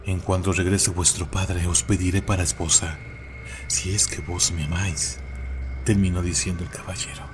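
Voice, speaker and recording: A man narrating scripted horror legends in a solemn, deliberate way with dramatic emphasis. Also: low-pitched, little pitch movement, over music. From Mexico.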